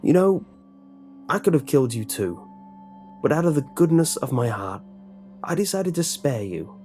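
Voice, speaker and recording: A man's voice: on the high side, big pitch swings, moderate pace, darkish tone, soft background music, voice pushed up. A man voicing a bitter, menacing middle-aged lunch lady with a heavy accent, who barks short orders in broken speech. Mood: resentful. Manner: resentful, threatening, barking short orders.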